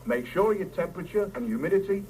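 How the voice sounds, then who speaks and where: A cocky, colloquial working man in a vintage radio drama. His fairly high voice swings breezily and patronisingly. The cassette recording is clearly noisy. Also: expressive, quick pace, darkish tone. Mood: cocky, reassuring. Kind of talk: 1970s radio drama acting.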